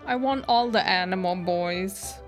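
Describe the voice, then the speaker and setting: A woman's voice: mid-range voice, slow pace. A woman streamer giving casual, enthusiastic reaction commentary as she plays a game. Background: game music.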